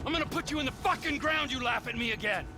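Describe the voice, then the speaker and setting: An actor playing a volatile middle-aged criminal psychopath in a video game, menacing, erratic and swearing constantly. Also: male voice, very high-pitched, quick pace. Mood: furious threatening. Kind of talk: video game cutscene acting. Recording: game audio with music behind.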